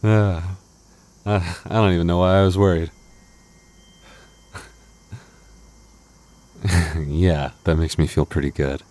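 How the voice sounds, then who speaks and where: A man playing a clingy, jealous young boyfriend in an intimate roleplay audio, talking casually and haltingly, prone to stammering when flustered. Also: low-pitched, steady tone, darkish tone, lightly acted. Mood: smug, playful pleading.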